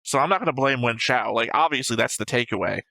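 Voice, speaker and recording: A man's voice: mid-range voice, expressive, fast talker, creaky, sharp tone, dry recording. A man in a casual discussion, arguing his points and swearing now and then as he analyses a show. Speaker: host of an anime reaction show.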